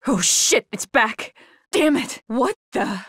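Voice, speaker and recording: A woman's voice: on the high side, very animated, slow, a bit bright, breathy edge, no room sound, studio quality, heavily acted. An actress playing a tough, determined young woman agent in a survival horror video game, speaking in terse, guarded bursts. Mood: urgent, alarmed.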